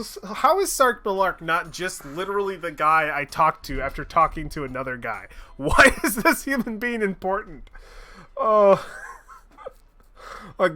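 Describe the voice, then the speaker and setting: Lively, bright-voiced cursing game streamer. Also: medium pitch. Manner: chatty, swears freely, laughs at themself. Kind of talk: casual gaming livestream chat.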